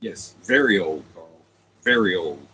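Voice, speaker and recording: A man's voice: low voice, lively intonation, a little slow, sharp tone, slight background noise, low-quality recording. A man in his forties co-hosting a casual livestream, trading crude joking banter. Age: 40s. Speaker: livestream co-host.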